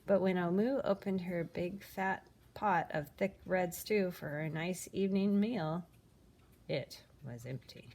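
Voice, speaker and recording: A woman reading a children's picture book aloud in an expressive, animated way, with dramatic pauses. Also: on the low side, a little hiss or hum. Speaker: storybook reader.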